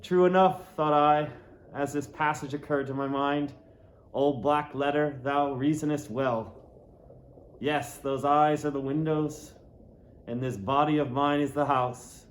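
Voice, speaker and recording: Male audiobook reader for a classic novel: very unhurried, theatrical, savouring words, slightly raised pitch. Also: steady tone, a little hiss or hum. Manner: deliberate, dramatic, lingers on and repeats words. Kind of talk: literary narration, theatrical reading of a classic novel.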